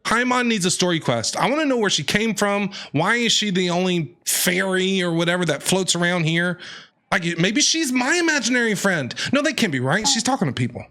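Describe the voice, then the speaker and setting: Animated man chatting over a game. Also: sits high, a little fast. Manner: animated, chatty, reacting aloud. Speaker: game streamer. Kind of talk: casual let's-play commentary.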